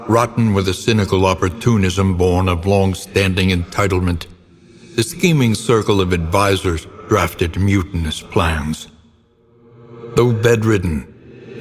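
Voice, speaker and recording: Very deep, brooding gothic narrator. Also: male voice. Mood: solemn, grave. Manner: solemn, theatrical, ornate phrasing. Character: grim, brooding male narrator of dark gothic tales. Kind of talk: dramatic scripted gothic narration. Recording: long reverberant tail, clear background noise.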